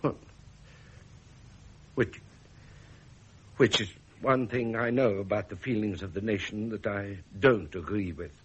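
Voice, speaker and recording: Husky, aggrieved royal heir. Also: male voice, on the low side, measured pace, long pauses, highs cut off. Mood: defiant. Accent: English upper-class. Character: frustrated, aggrieved royal heir, pleading and indignant son. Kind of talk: vintage British radio drama acting.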